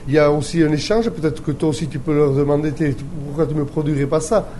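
A man's voice: on the high side, steady tone, quick pace, warm tone, slight background noise. A man interviewing a chef, often stopping and rephrasing his questions.

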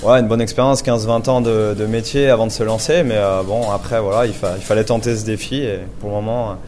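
A man's voice: on the low side, fairly even intonation, fast talker, a little mellow, soft background music. A man in his twenties, a chef from Paris, answering interview questions with many fillers and restarts. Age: 20s.

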